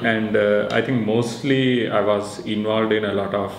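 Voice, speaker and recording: Low, monotone Sri Lankan man, echoey room. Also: measured pace. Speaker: researcher and maker presenting a project. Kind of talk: informal explanatory interview talk. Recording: echoey room with faint background noise.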